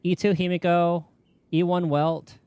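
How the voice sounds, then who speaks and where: A man's voice: high-pitched, flat delivery, measured pace, dark tone, smooth, no echo. A man chatting casually on a game livestream, rambling and thinking aloud as he goes. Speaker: game streamer. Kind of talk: casual livestream chat while gaming.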